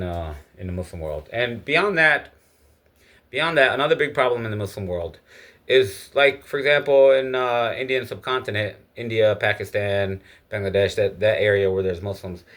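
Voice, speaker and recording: A man's voice: medium pitch, a bit bright. A man from Egypt giving a casual, opinionated spoken monologue. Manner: opinionated, lecturing. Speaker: speaker giving his views.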